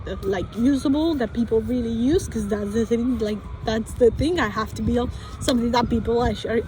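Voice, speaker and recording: A woman's voice: fairly high pitch, warm tone, a little hiss or hum, rough recording. A young woman from Colombia with a Colombian Spanish accent, speaking English as a second language and thinking aloud in a hesitant vlog full of restarts and fillers. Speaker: vlogger.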